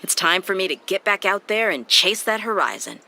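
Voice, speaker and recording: A woman's voice: mid-range voice, very animated, brisk, gravelly, bright, forceful tone, a little hiss or hum, exaggerated voice. A woman voicing a tough, self-assured young mercenary in a video game, frank and unshakeable. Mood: confident, frank. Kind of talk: video game character acting. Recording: music behind the voice.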